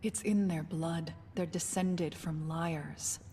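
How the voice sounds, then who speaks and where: Elf mage for anime dub: lowish, cool, severe and deliberate, music underneath. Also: female voice, steady tone, mellow. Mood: grave, detached. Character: stern, coolly detached elf mage woman. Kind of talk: English anime dub acting. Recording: music behind the voice.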